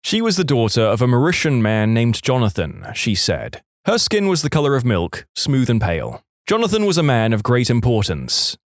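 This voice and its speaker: A man's voice: medium pitch, huge pitch swings, moderate pace, few pauses, dry recording, clean studio sound. A man reading scripted online stories as a narrator, acting out the quoted speech.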